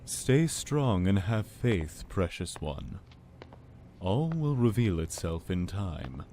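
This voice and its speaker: A man playing a calm, commanding cult lord in an audio drama, with a measured, polite and pious manner. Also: low voice, a little hiss or hum, exaggerated voice. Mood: soothing, pious.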